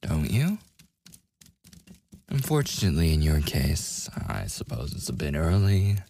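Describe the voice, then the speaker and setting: Man voicing a very deep, gravelly werewolf. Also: sing-song, mellow, a little hiss or hum, heavily acted. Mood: coolly resigned. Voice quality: gravelly, husky, breathy. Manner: slow, teasing, menacing. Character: possessive, taunting male werewolf predator. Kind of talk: boyfriend ASMR audio roleplay acting.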